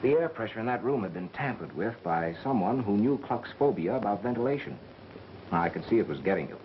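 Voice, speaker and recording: An actor playing a calm, matter-of-fact radio engineer turned amateur detective in a 1930s mystery film. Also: male voice, lowish voice, fairly quick, a little hiss or hum, lightly acted. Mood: assured, explaining. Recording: muffled 1930s film soundtrack with music.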